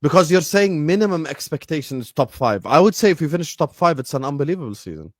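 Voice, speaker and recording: A man with a somewhat higher voice needles his opponent in a heated football debate. His tone is teasing and sarcastic. The sound is dry and close, with no room echo. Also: big pitch swings, fast. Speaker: podcast co-host.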